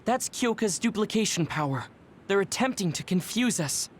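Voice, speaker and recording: An actress playing a composed, analytical soldier in an anime-style video game, calm and formal. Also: female voice, medium pitch, normal speed, slight background noise, lightly acted.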